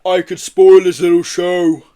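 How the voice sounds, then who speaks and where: A huge, brash lady Yeti from a storybook, acted out by a male reader. She drawls her words slowly and loudly, booming with good humour. The pitch sits above an ordinary man's talk. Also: little pitch movement, smooth. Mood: gruff, playful.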